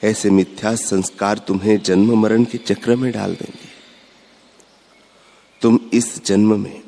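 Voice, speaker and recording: A man giving a measured, instructive spiritual discourse, repeating his points. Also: fairly low pitch, level tone, vocal fry, dark tone. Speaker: spiritual speaker. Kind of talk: slow devotional discourse. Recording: long hall reverb.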